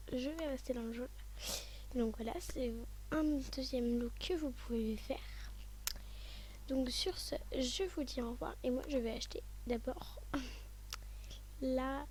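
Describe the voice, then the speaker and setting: A hoarse woman talking softly and often half-whispering, thinking aloud with many hesitations as she walks viewers through styling an outfit. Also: high voice, unhurried, slight background noise. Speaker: vlogger showing game outfits. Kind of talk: casual screen-recording commentary.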